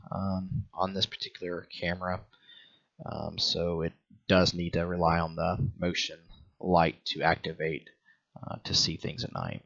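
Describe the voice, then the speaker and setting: Low, gravelly-voiced man walking through a demo. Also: fairly bright tone, highs cut off. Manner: halting, hesitant, step-by-step explaining. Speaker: product reviewer. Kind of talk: casual product walkthrough demo.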